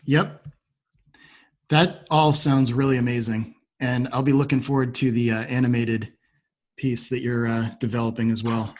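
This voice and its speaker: A man with a mid-pitched voice hosts an interview podcast. He speaks politely, affirming what he hears and leading into questions. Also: level tone, moderate pace. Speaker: podcast host.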